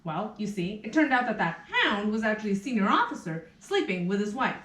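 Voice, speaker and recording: A woman playing a prim, chatty, officious newspaper clerk in a theatrical puppet show, with a brisk, fussy, exaggerated delivery. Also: medium pitch, very animated, a bit bright. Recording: roomy, distant rehearsal room recording.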